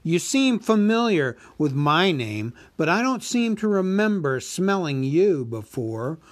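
A man voicing a huge, cunning male dragon who talks in a slow, sneering, drawn-out way. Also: fairly high pitch, sing-song, no echo, voice pushed up.

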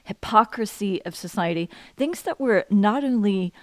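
A woman's voice: mid-range voice, expressive, moderate pace, no room sound. A woman hosting a podcast, speaking in a thoughtful, emphatic way in casual interview talk. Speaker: podcast host.

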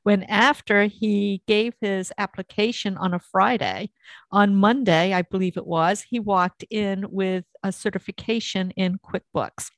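A middle-aged woman hosts a conversational interview. Her voice sits at an average pitch for a woman, and the recording is close and dry with little room sound. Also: steady tone, a little slow, no echo. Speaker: interview host, former small-town mayor.

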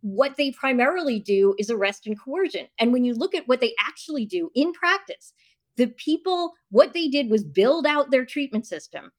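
A woman with a fairly high voice speaks as a guest in a debate-style interview. She argues insistently, laying out explanations point by point. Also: animated, moderate pace. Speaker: guest, author and journalist.

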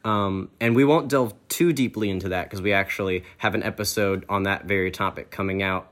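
A man's voice: sits low, brisk, a bit bright. A man talking earnestly as a co-host in a podcast discussion.

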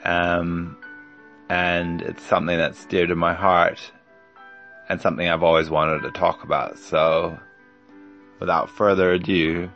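Slow, deep-voiced man hosting a podcast. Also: level tone, vocal fry, a bit bright, highs cut off, faint music behind. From Germany. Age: 40s. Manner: hesitant, rambling, frequent ums and yeahs. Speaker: podcast host. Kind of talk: solo podcast monologue.

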